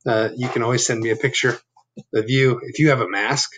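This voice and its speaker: A man in his fifties reading a picture book aloud to children, adding chatty asides and gentle questions. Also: medium pitch, steady tone, fairly quick, a little hiss or hum. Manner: asking the listeners gentle questions. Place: living room at home. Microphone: laptop webcam at arm's length.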